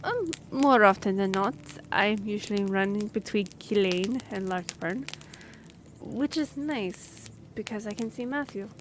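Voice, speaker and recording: A woman's voice: mid-range voice, unhurried, natural voice. A woman playing a friendly, earnest young half-elf royal messenger in a fantasy audio roleplay, speaking a little hesitantly. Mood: earnest, explaining. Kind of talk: fantasy audio roleplay, one-sided conversation. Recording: noisy track with background ambience.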